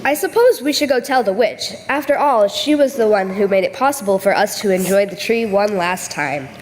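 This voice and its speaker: A woman's voice: medium pitch, animated, normal speed, darkish tone, close to an everyday voice. A woman playing an earnest, food-loving village child in an amateur readers theater. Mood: downcast. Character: earnest village child who loves apple treats. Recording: reverberant hall, faint background noise.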